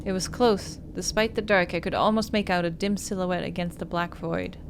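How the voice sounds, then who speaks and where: A woman's voice: fairly low pitch, fairly even intonation, moderate pace, noisy background. A woman hosting a game playthrough, reading story narration aloud with casual asides. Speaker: gaming host.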